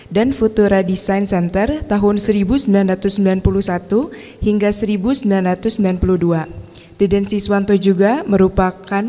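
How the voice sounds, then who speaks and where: A woman's voice: medium pitch, warm tone. A woman with an Indonesian accent reading a formal scripted introduction carefully as an event presenter. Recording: echoey hall with long reverb.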